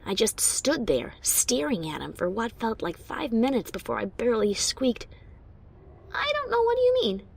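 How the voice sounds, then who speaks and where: A woman's voice: a little high, sing-song, moderate pace, vocal fry, husky, heavily acted. A woman playing a wry but secretly anxious adventurer pony who narrates her own story expressively, with bravado over nerves. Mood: shaken. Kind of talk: first-person dramatic story narration.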